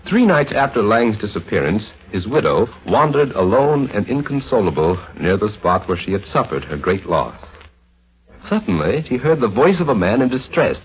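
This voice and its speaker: Low, dramatic American male radio narrator. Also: big pitch swings, a little hiss or hum. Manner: suspenseful, dramatic, measured storytelling. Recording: dull vintage radio, faint music behind.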